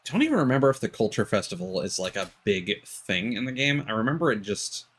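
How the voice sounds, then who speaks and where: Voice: mid-range voice, sing-song, brisk, fairly bright tone, husky. A game streamer giving casual gameplay commentary, rambling and musing aloud. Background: video game music.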